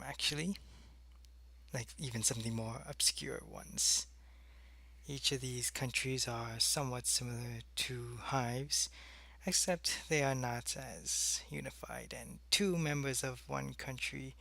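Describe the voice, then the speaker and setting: Man playing gravelly, earnest alien agent. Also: mid-range voice, pushed and bright, no room sound, exaggerated voice. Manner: explanatory, measured, matter-of-fact. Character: earnest alien agent briefing his superior on humans. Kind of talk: scripted sci-fi audio roleplay.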